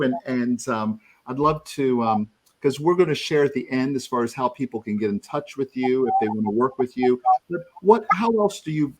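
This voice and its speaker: A man with a middle-pitched voice co-hosting an online talk show. He speaks thoughtfully and hesitates, restarting his phrases. He sounds like a video call. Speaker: co-host. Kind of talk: reflective talk-show conversation.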